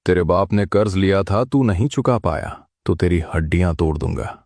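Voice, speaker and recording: A man's voice: deep voice, animated, normal speed, creaky, darkish tone, no echo, studio quality, full character voice. A man playing a bullying village headman in a cartoon story, barking threats and orders. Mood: threatening. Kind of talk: Hindi cartoon story acting.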